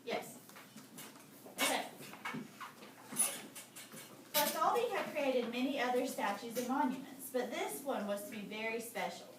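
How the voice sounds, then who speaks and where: A woman's voice: on the high side, animated, moderate pace. A woman teacher reading aloud to children in a lesson, breaking off to explain and ask questions. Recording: very echoey noisy room, far from mic.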